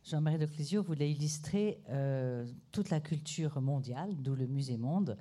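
An older woman, a museum curator, introducing a guest speaker off the cuff, with many pauses and fillers. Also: deep voice, expressive, warm tone. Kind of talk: spontaneous introductory speech.

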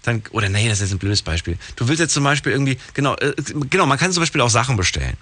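Radio host, man, mid-pitched, chatty and exasperated, dry close studio sound. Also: very fast, dry recording. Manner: animated, exasperated, full of restarts. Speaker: radio talk show host. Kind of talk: casual radio phone-in talk.

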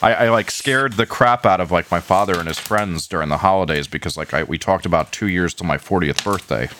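A man's voice: low voice, brisk, a bit bright, a little hiss or hum. A man in his late thirties co-hosting a podcast in casual banter, often stammering and repeating words as he starts a sentence. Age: late 30s. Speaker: podcast co-host.

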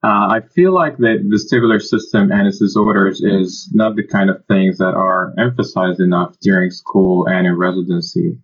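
A man's voice: sits low, steady tone, normal speed, hardly any pauses. A man hosting an interview, politely thanking his guest and asking a question. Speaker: interview host. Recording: dull lo-fi audio.

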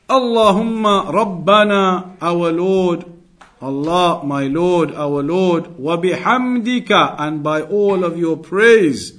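Higher-voiced man giving an Islamic lesson. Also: fairly bright tone. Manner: didactic, deliberate, repeats key phrases for emphasis. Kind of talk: religious lecture, explaining Arabic texts in English.

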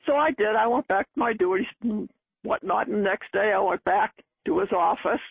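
Woman in her 80s from Boston, chatty storyteller, husky voice, tinny archive recording. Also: medium pitch, brisk, no echo. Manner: chatty, laughing at herself. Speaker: oral history interviewee. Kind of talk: storytelling recollection.

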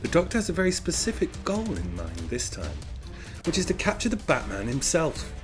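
Lively, curious podcast host. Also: male voice, a little high, brisk, over music. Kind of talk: scripted intro and casual interview questions.

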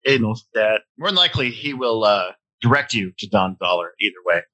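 A man's voice: on the low side, animated, fast, sharp tone. A man in his forties hosting a casual hobby podcast from home, a bit stuffy-nosed, talking in a loose, rambling way. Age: 40s. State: stuffy nose. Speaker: podcast host. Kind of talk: casual chat.

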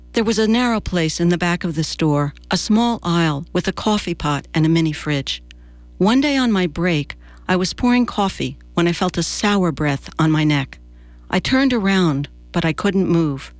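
An American woman in her sixties reads a scripted personal essay for radio in a measured, deliberate storytelling manner. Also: on the low side, dry recording. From New Jersey, United States. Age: 60s. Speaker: radio commentator and presenter.

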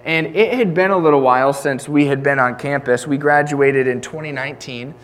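A young man in his twenties delivers a sermon with earnest conviction. He keeps it conversational, circling back and restarting phrases as he talks, and his voice sits at an ordinary middle pitch. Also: fairly quick, fairly bright tone. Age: 20s. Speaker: preacher.

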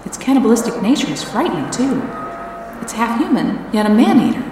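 A woman's voice: on the high side, big pitch swings, fairly quick, over music. Woman playing an echoey, worried scientist. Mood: fearful, pensive. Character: worried, reflective female monster scientist. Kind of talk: audio drama acting. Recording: very echoey, slightly distant from mic.

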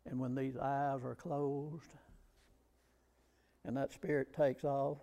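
Slow, gravelly preacher man. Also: medium pitch, little pitch movement, mellow. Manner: emphatic, rhetorical questions. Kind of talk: sermon.

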